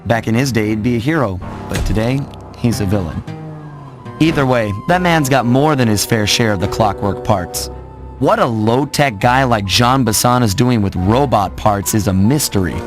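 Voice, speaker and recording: A man's voice: on the low side, expressive, moderate pace, close to an everyday voice. An actor playing a suave, wry young male thief, narrating his capers in a cartoon game. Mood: wry storytelling. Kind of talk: scripted narration. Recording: loud music behind narration.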